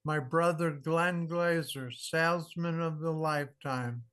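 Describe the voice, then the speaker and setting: Slow, reflective man in his sixties. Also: a little high, steady tone, a bit bright, clear, resonant tone. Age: 60s. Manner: measured, reflective storytelling. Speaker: guest. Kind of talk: casual interview conversation.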